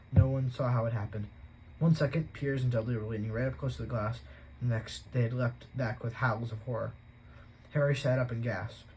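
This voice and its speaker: A man reading a novel aloud at a steady pace, with an occasional stumble he corrects. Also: mid-range voice, a little hiss or hum, poor audio. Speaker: audiobook reader.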